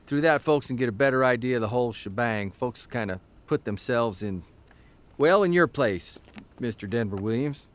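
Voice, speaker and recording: Animated, folksy newspaper columnist played by a man. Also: mid-range voice, natural voice. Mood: genial, chatty. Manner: folksy, halting, chatty. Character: genial, rambling newspaper columnist, sympathetic listener. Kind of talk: naturalistic audio drama dialogue.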